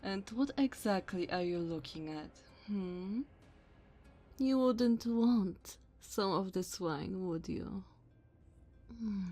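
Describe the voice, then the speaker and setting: Woman doing a tall vampire noblewoman for asmr roleplay, really slow and drawn out, haughty, bossy, mocking, talks all formal. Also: fairly low pitch, mellow. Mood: indulgent, teasing. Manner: slow, condescending, theatrical formal diction.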